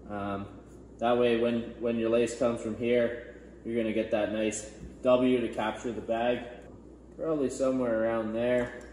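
A very reverberant, distant recording of a man with a medium-pitched voice. He explains a practical job step by step in an easygoing, casual way, like an informal workshop tutorial. Faint noise sits behind him. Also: flat delivery, a little slow, dark tone. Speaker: instructor demonstrating canoe outfitting. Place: large bare indoor workshop with plastic-sheeted walls. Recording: very echoey room, distant mic, faint noise.

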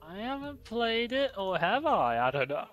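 A man chatting casually over a game, teasing his friend, laughing often and breaking into excited exclamations. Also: high-pitched, moderate pace, lo-fi sound, soft background music. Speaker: co-host of a gaming stream. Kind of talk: casual gaming banter.

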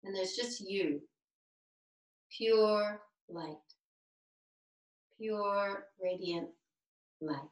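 A woman leading a guided meditation as a teacher, speaking deliberately in short, spaced-out phrases over a video call. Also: mid-range voice. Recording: roomy, distant video-call microphone.

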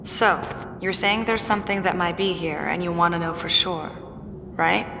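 Cool young female fighter, video game; slightly low, matter-of-fact, tough, in a reverberant hall. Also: normal speed, gravelly, bright, forceful tone, slight background noise. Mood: dry, cool. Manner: dry, curt, matter-of-fact. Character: cool, tough young woman sphere hunter.

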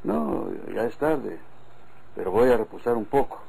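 An actor playing an easygoing, trusting country husband in a Mexican radio drama, with a creaky voice, colloquial manner and Mexican accent. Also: male voice, medium pitch, very animated, measured pace, mellow, exaggerated voice, poor audio. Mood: contented, sated. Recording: old radio recording, faint noise.

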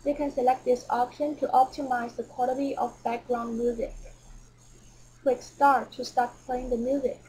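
A woman with a fairly high voice and a very mellow tone narrates a software tutorial from a script. There is some noise in the recording. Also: flat delivery, normal speed, low-quality recording.

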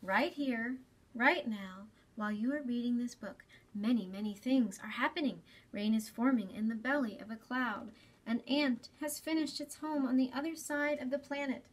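A woman in her thirties hosting a children's storytime, explaining gently and deliberately in a slightly breathy voice. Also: fairly high pitch, poor audio. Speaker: children's storytime host at a library. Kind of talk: children's storytime reading and talk. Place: small library space, indoors.

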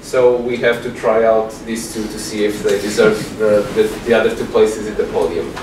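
A man's voice: lowish voice, steady tone, normal speed. A man presenting at a tech meetup, hesitant with many fillers. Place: large meeting room with projector. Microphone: far room mic. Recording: echoey room from far off, noisy.

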